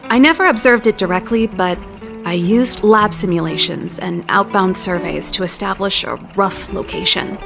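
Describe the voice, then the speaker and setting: An actress voicing a wry, candid young exiled scientist in a video game, talking in a reflective, articulate way. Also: female voice, mid-range voice, gravelly. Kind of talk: scripted dramatic game dialogue. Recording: loud background music under the voice. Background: game cutscene music.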